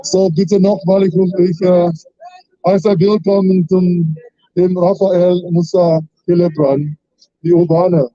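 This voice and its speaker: A man's voice: sits high, flat delivery, slow, mellow, dry recording. A man at an outdoor rally hesitantly announcing the next speaker, a bit off-mic. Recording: dull sound, voice far from the mic.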